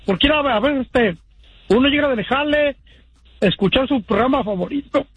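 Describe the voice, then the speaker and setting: A man with a Mexican accent calling in to a sports talk show, rambling and halting in a friendly way. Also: extremely high pitch, animated, fairly bright tone, husky, dry recording, low-quality recording. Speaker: caller. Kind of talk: casual phone-in chat.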